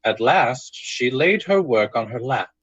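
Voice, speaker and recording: A man's voice: medium pitch, animated, measured pace, a bit bright, breathy edge, no echo, lo-fi sound. A man giving dramatic scripted narration in the style of an old-time radio drama.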